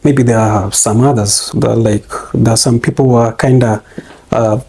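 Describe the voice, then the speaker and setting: Kenyan male guest, hesitant and rambling, warm low-toned husky voice. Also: fairly even intonation, normal speed. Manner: rambling, hesitant, full of fillers. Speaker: interview guest. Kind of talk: casual interview.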